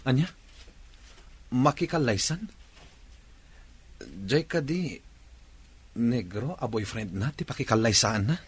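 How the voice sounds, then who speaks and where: A man's voice: mid-range voice, animated, slow pace, pauses often, breathy edge. An actor playing a worried, guarded young man in an Ilocano radio drama. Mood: puzzled, wistful.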